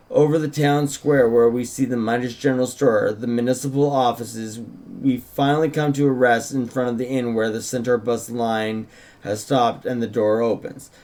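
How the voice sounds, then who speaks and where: A man's voice: medium pitch, steady tone, normal speed, darkish tone. A man co-hosting an informal table read, narrating stage directions from a script. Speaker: writer and co-host reading stage directions.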